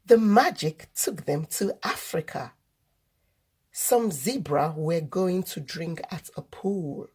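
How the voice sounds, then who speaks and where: A woman reading a children's story aloud as host, in deliberate, expressive narration. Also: on the low side, slightly rough.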